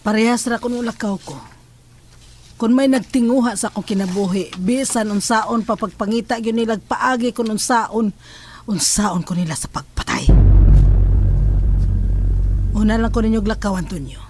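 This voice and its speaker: A woman playing a watchful, fearless middle-aged mother in a horror audio drama, speaking in a grave, measured way that sometimes drops to a hush. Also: on the high side, slight background noise, natural voice. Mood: resolute. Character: watchful, fearless mother figure facing hidden enemies.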